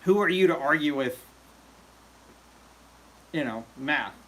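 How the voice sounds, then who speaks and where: A man's voice: on the high side, animated, takes it slowly, fairly bright tone, slight background noise, poor audio. A man hosting a casual livestream chat, rambling with lots of fillers and joking with viewers. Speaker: livestream host.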